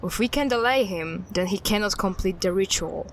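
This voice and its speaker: An actress playing a level-headed, decisive investigator in an audio drama, with a practical, deliberate manner. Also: female voice, mid-range voice, slight background noise, lightly acted. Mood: measured, serious.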